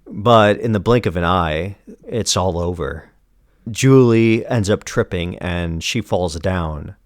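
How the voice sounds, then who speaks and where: A man giving a scripted, dramatic narration of a story in a grave, deliberate manner. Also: low-pitched, dry recording, clean studio sound. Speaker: narrator recapping a comic story.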